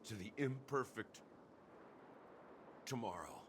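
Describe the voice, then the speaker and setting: An actor playing a sardonic, melancholy rogue in a game monologue, wry, theatrical and musing. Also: male voice, mid-range voice, animated, slow, noisy background. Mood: wry, bittersweet. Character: sardonic, melancholy male rogue bidding old friend farewell.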